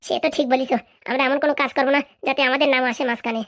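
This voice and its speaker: A woman acts out a scheming village madam from a comic cartoon. Her voice is high and she rattles along extremely fast. She sounds like a conspirator, ambitious and ready for revenge. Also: fairly even intonation, fairly bright tone. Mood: smug, plotting. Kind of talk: comic cartoon drama acting.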